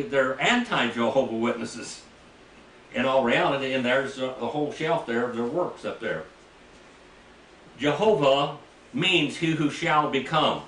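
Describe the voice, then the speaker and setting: An older man leads a Bible class with a slightly high voice, often asking his listeners questions as he reads scripture. The sound comes from a distance in an echoey room. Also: fairly bright tone, slight background noise. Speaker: preacher teaching a Bible class. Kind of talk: Bible teaching lecture. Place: small church room, indoors. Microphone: room mic from a distance.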